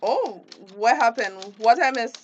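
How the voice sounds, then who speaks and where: A woman's voice: on the high side, expressive, measured pace, dull audio, slight background noise. A woman acting a friendly, eager young community project worker in a radio drama, upbeat and chatty with a Caribbean accent. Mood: upbeat, curious.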